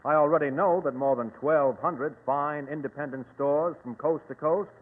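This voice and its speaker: A man plays an eager, agreeable shopper in an old radio commercial. His voice is a little high, and it comes through thin and reverberant. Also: normal speed, narrow, tinny audio, lightly acted. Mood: keen. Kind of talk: scripted 1940s radio advertising dialogue.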